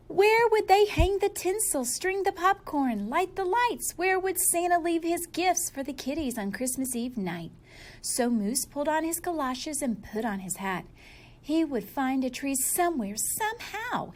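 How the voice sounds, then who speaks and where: Animated, high-voiced woman reading a storybook. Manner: animated, playful, drawn-out emphasis. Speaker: storybook reader. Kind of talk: expressive children's story read-aloud.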